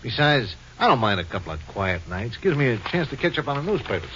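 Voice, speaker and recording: An actor playing a folksy, philosophical saloon keeper, wry and streetwise, in a 1950s radio drama. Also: male voice, medium pitch, sing-song, fast talker, pushed and bright, a little hiss or hum. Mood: wry, skeptical. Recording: muffled old radio recording.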